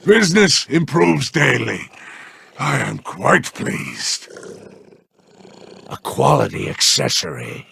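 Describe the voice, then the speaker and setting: Gravelly, oily alien crime boss. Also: male voice, medium pitch, wildly swooping pitch, pushed and bright, a little hiss or hum, exaggerated voice. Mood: smug, sly. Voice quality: gravelly, growling. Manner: oily, unhurried menace, mock-courteous. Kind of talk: video game character voice lines.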